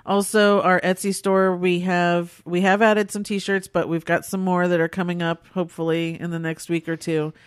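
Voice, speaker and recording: A woman's voice: fairly low pitch, flat delivery, moderate pace, few pauses, clear, resonant tone, no room sound, studio quality. A sick woman with a nasal, congested voice, co-hosting a casual podcast and rambling chattily through movie reviews. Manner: chatty, rambling, laughs often. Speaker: podcast co-host.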